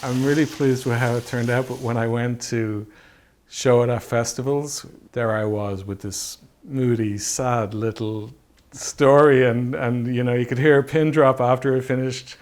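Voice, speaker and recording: A man reminiscing in a documentary interview as a filmmaker, in a halting, thoughtful way with frequent pauses. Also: mid-range voice. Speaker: film director and effects artist being interviewed. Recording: steady background noise.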